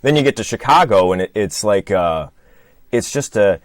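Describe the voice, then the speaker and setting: Midwestern American male guest, animated pitch, dry close sound, rambling and playful. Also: moderate pace, no echo. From Michigan, USA. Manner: rambling, self-deprecating, playful. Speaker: podcast guest. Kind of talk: casual chat.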